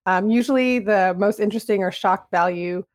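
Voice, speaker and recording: Woman, guest on a talk show, first language Vietnamese, relaxed chatty answers. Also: medium pitch, moderate pace. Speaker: guest, media relations business owner. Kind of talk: casual interview answers.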